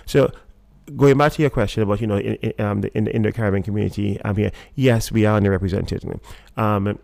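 Gravelly low-voiced Trinidadian man. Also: steady tone, fast, darkish tone, no room sound. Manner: hesitant, many fillers and restarts. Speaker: guest. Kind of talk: casual interview talk.

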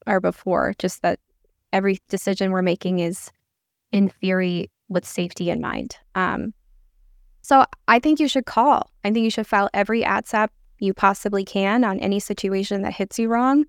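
A woman's voice: mid-range voice, moderate pace, vocal fry, no echo, clean studio sound. A woman giving candid, informal explanations as an interview guest. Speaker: podcast guest, air traffic controller and pilot. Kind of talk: conversational interview answers.

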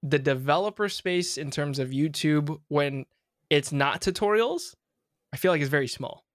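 A man's voice: on the high side, normal speed, no echo, studio quality. Young man rambling on a podcast. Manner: rambling, many fillers and restarts. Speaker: podcast host. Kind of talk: casual podcast conversation.

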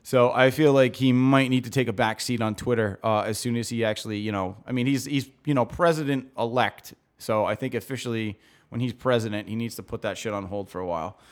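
A man co-hosting a casual talk show, giving relaxed opinions with many fillers. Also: medium pitch, brisk. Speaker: podcast co-host. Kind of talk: casual chat.

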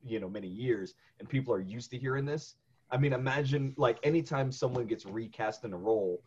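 A man's voice: medium pitch, brisk, a little mellow, muffled recording, a little hiss or hum. A male voice actor co-hosting a podcast, chatting casually and often repeating words as he starts a thought.

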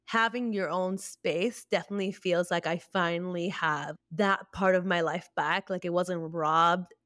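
A woman's voice: lowish voice, fairly even intonation, unhurried, fairly bright tone, no room sound, studio quality. A young woman from Peru co-hosting a podcast, chatting casually in a bubbly way, with lots of "like" and laughter. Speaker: podcast co-host. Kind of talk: casual chat.

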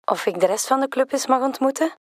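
An actress playing a curious Flemish storyteller in an audio drama, moving between calm narration and pointed dialogue. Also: female voice, high-pitched, fast. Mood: curious, probing. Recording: loud music under the voice. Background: loud instrumental music.

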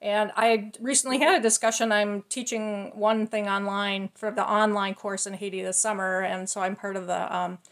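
A woman hosting a podcast, a nursing teacher, talking in a measured, reflective, academic way. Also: medium pitch, little pitch movement. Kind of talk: conversational podcast talk.

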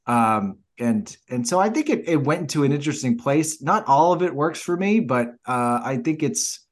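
A man co-hosting a casual film chat, sharing anecdotes haltingly and repeating words as he searches for the next one. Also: a little high, lively intonation. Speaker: podcast co-host.

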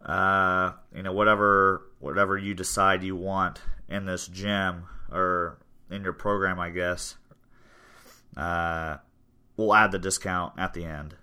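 A man's voice: sits low, slow, smooth. A man, chatting casually on a podcast about his gym, rambling with lots of fillers. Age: 20s. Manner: relaxed, rambling with many fillers. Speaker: gym owner and podcast host.

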